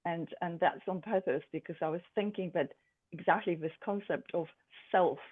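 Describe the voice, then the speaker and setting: Tinny, halting artist describing her artwork. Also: medium pitch, slightly rough. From Poland. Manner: thoughtful, halting, many fillers. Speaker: artist presenting own work. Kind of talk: art presentation to a group. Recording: tinny phone-like sound.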